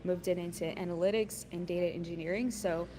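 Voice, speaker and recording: A woman from Ethiopia with a fairly low voice leads an online workshop over a computer mic. She talks in a friendly, encouraging way and fills her sentences with ums and uhs. A steady noise runs under the call audio. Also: brisk. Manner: frequent fillers, friendly and encouraging. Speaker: workshop presenter.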